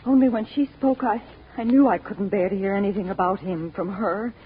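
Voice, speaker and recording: A woman's voice: medium pitch, brisk, slight background noise, lightly acted. An actress playing an anxious, insistent wife and mother, pleading in agitated 1940s radio style. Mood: troubled. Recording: thin, phone-like 1940s radio recording.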